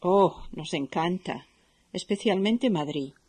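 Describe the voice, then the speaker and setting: A woman with a Spain Spanish accent playing a polite, friendly Spanish woman in a language-course dialogue, speaking slowly and clearly for learners. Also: on the low side, animated, darkish tone, full character voice. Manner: slow and clearly spoken for learners.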